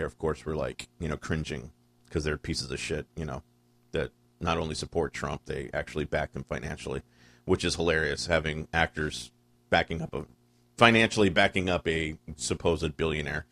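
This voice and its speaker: Deep-voiced, blunt, profane man. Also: fairly even intonation, a bit bright, no room sound. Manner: blunt and profane, quick interjections. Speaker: podcast co-host. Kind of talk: casual political chat.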